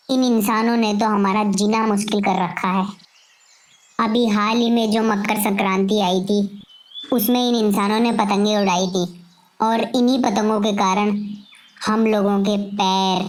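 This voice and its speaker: A woman voicing an aggrieved pigeon in an animated moral story, explaining things in a deliberate way. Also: mid-range voice, slight background noise, natural voice. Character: aggrieved injured pigeon complaining about humans.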